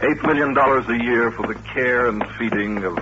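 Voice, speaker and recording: An actor playing a courteous, patient doctor who runs an institution, explaining things politely and with measure in an old-time radio drama. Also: male voice, medium pitch, normal speed, over music, natural voice. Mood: earnest, explanatory. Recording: dull old radio recording, faint hiss.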